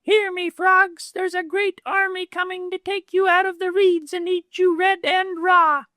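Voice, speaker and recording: A man voicing a bold, boastful little male weasel in a storybook reading, proud and brisk. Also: very high voice, little pitch movement, sharp tone, no room sound, studio quality, pitched above the performer's own voice, heavily acted. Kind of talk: storybook read-aloud.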